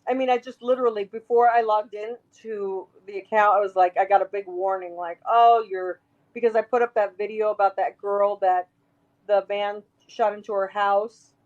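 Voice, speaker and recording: A woman with a raspy voice, talking emphatically as an interview guest, rambling and restarting her sentences. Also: mid-range voice, steady tone, normal speed, dull audio. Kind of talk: casual interview talk.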